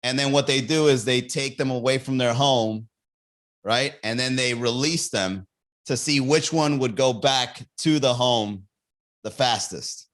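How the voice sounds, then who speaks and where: A man's voice: medium pitch, a little slow, a bit bright. A young man hosting a comedy podcast, riffing loosely and profanely while laughing at his own jokes. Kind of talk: riffing stand-up on a livestream podcast.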